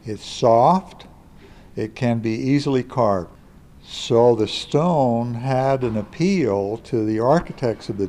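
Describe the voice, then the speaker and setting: A man giving a plain, measured explanation as an interviewed expert. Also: medium pitch, gravelly, dark tone, slight background noise.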